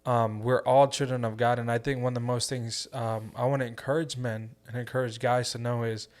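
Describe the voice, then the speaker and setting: A man's voice: medium pitch, flat delivery, fairly quick. A young man hosting a casual faith podcast, earnest and encouraging, talking to his guest like a friend and calling him "bro.". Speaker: podcast host.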